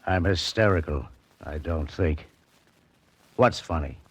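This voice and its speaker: A man playing a gruff, impatient American police lieutenant in a 1940s radio drama, brusque and sarcastic. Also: very deep voice, slow, heavily acted. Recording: old radio recording, background voices.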